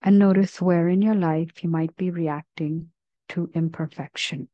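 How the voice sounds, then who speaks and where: A woman leading a guided meditation in a gentle, measured manner, leaving long pauses between phrases. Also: lowish voice, fairly even intonation, no echo, muffled recording.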